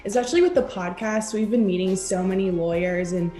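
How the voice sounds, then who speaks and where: A woman's voice: medium pitch, fairly quick, mellow. Young woman, grew up in South America, chatty storyteller, echoey room, faint music. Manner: storytelling, quotes her family, chatty. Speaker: guest, podcast co-host, law student. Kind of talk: casual interview chat.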